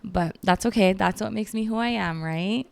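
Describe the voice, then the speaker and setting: Podcast host: woman, 30s, Filipino accent, gravelly but smooth voice, dry close sound, rambling and chatty. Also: medium pitch, normal speed, no echo. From Philippines. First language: Filipino language. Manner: chatty, lots of "like" and "um", laughs at herself. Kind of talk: casual solo podcast chat.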